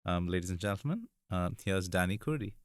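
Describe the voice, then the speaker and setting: A man hosting a podcast, introducing the episode and his guest in a relaxed, friendly way with frequent ums. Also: deep voice, a little fast, clear, resonant tone, dry recording, studio quality. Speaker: podcast host. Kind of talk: casual podcast conversation.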